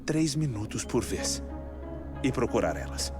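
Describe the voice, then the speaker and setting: A man with a Brazilian accent playing an eccentric, courteous, grieving scientist in a video game dub, speaking in a measured, articulate way. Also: medium pitch, animated, lightly acted. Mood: wistful. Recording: music under the voice.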